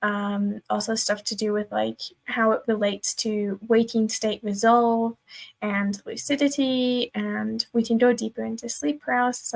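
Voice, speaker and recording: A woman's voice: a little high, gravelly, rough recording. A woman casually hosting an open group discussion in a tentative, inviting manner. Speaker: discussion host.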